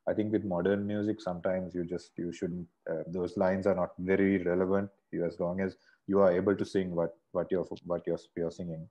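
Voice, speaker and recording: A man's voice: deep voice, flat delivery, fairly quick, highs cut off. A man from Sri Lanka, a singer, talking as a guest over a video call in a casual, rambling way with many restarts. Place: bright room indoors. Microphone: earphone mic on a video call.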